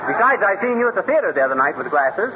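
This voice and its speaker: A boastful, teasing husband with a high, animated voice and folksy slang. It is 1930s radio comedy acting. The sound is dull, with clear background noise. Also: male voice, quick pace, a crowd in the background. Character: brash, wisecracking, boastful small-town husband.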